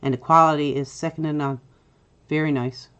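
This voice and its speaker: Tutorial host: middle-aged Newfoundland woman, lowish voice, chatty with filler "uh"s, dull lo-fi desk mic. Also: fairly even intonation, a little fast, fairly bright tone, clear, resonant tone. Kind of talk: screen-recorded software tutorial.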